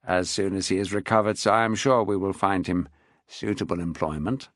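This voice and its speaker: A man voicing a tired, heavy-drinking courtier who speaks slowly and deferentially. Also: no echo, studio quality, lightly acted. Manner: slow, low, deferential. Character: weary, heavy-drinking male courtier, measured and unhurried.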